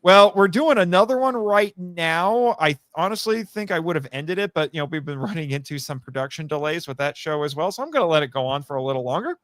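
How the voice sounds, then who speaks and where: A man with a fairly high, smooth voice chats casually as a podcast guest. He rambles through plugs for his own work in a joking, self-deprecating way, recorded close and dry. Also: lively intonation, a little fast, few pauses, a bit bright, no echo. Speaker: podcast guest, writer and audio drama voice actor. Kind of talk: casual chat, plugging own projects.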